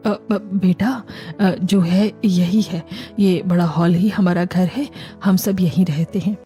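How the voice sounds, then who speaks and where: A woman's voice: lowish voice, fairly even intonation, slow, mellow, faint music behind, pitched below the performer's own voice. A woman playing an elderly mother-in-law in a cartoon family story, tender, affectionate and fretful. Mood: worried.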